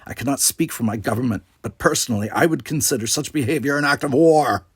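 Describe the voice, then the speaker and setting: A man's voice: mid-range voice, quick pace, breathy edge, no room sound, studio quality, voice pushed up, natural voice. A man voicing a proud, hostile male alien military leader in an audiobook, speaking in a heated, formal, haughty way. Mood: threatening.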